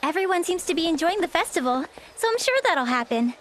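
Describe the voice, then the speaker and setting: High-voiced, sweet earnest teenage girl. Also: very animated, brisk, slight background noise, full character voice. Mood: earnest, pleased. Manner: gentle, polite, earnest. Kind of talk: anime game acting and story narration.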